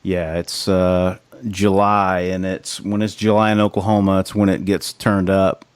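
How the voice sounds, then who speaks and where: A lower-pitched American man talks as a podcast co-host in loose, slangy banter. He is emphatic and informal, and the sound is close and dry with no room around it. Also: level tone, measured pace, smooth, no room sound. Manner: informal, profane, emphatic, says "man" and "dude" often.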